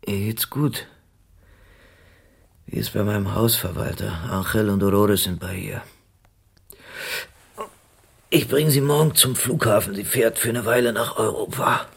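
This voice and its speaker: A man's voice: fairly low pitch, measured pace, vocal fry, pauses often, breathy edge, full character voice. A man acting in a German radio drama as an exhausted, hunted private detective, weary, terse and brooding. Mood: weary, bitter.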